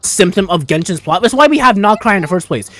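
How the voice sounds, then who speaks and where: High-voiced man gushing over a video game. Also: animated, quick pace, a bit bright, no echo. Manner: gushing, slangy, profane. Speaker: game streamer. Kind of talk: casual reaction commentary. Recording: game music behind the voice. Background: video game music and dialogue.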